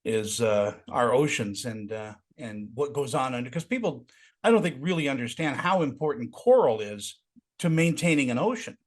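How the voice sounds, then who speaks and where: Excitable man hosting an interview. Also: mid-range voice. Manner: excitable, halting, many fillers and restarts. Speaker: interview host. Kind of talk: casual interview chat.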